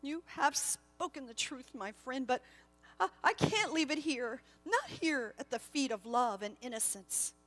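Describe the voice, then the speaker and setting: Remorseful old storybook wise man, read by a woman: burdened, hesitant, yearning, high register, music present. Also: animated, a bit bright, long reverb tail, heavily acted. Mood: anguished, hesitant. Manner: halting, yearning.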